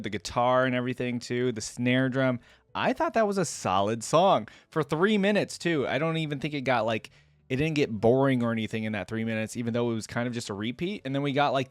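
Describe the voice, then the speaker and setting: A man's voice: medium pitch, dry recording. A man giving casual reaction commentary as a video host, musing aloud in slangy, filler-heavy phrases. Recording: music playing behind voice. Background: loud game music.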